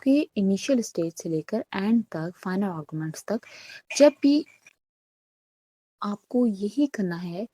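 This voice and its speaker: A woman's voice: mid-range voice, lively intonation, slow pace, pauses often, warm tone, a little hiss or hum, low-quality recording. A woman lecturing as a law teacher, explaining step by step, posing questions and answering them, with English legal terms mixed in. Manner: didactic, poses questions then answers them, frequent check-ins.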